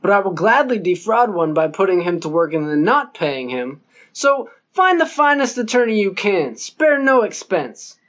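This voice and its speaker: A man's voice: sits high, sing-song, normal speed, a bit bright. A man voicing a pompous, scheming male cult leader who speaks in grand, formal wording.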